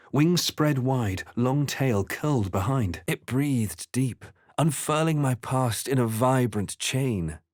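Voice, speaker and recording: A man voicing a brooding, wry fantasy adventurer hero in a video game, with measured, dramatic delivery. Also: medium pitch, a little mellow, dry recording, clean studio sound. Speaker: video game player-character voice actor. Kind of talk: dramatic first-person fantasy game narration and voice lines.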